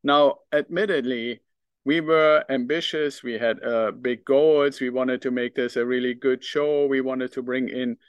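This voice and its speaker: A middle-aged man with a German accent talks alone into the mic as a podcast host. His voice sits at an ordinary pitch, earnest and reflective, with small hesitations as he thinks aloud. The recording is close and dry, with no room sound. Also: steady tone, unhurried, clean tone, dry recording, dull audio. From northern Germany. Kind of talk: solo podcast monologue.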